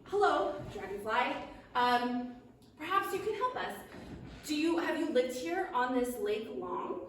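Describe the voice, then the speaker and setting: A woman voicing a pompous, bookish male king who speaks slowly and grandly, recorded in an echoey room from a distance. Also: high-pitched, sing-song, a bit bright, a little hiss or hum, full character voice. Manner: slow, grand, self-important. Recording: echoey room, mic far off.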